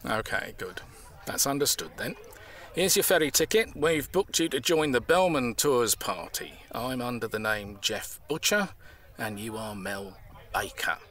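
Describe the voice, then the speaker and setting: A man's voice: medium pitch, lively intonation, measured pace, a bit bright. A man playing a bossy, wry crook boss in an audio comedy drama, chatty and colloquial. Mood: businesslike. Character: gruff bossy male crook boss, fond of jokes.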